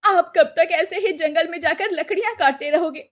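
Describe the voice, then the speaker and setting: A woman's voice: very high voice, moderate pace. A woman voicing a poor, fed-up wife in a cartoon story, complaining and scolding plaintively. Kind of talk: Hindi cartoon story voice acting.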